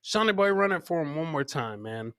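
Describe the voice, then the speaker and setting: A man's voice: a little high, expressive, brisk, background noise. A man hosting a live comedy show, riffing on video clips in a boisterous, jokey way and repeating catchphrases. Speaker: podcast host.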